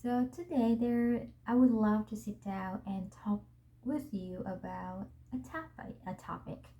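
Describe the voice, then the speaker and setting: A young woman with a Vietnamese accent, whose first language is Vietnamese, hosting a vlog and talking to the camera in a gentle, earnest and reflective way. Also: medium pitch, a little slow. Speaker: vlog host.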